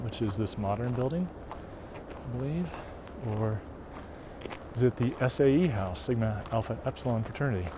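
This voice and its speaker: A man narrating casually while walking outdoors across a snowy campus, musing aloud and unsure of building names. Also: medium pitch. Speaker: walking tour guide. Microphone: camera carried while walking. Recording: outdoor wind and traffic noise. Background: wind and street noise.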